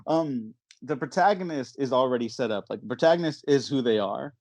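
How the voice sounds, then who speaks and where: A man's voice: mid-range voice, animated, brisk, darkish tone, dry recording. A man hosting a casual movie podcast, talking in a chatty, enthusiastic, rambling way. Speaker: podcast host.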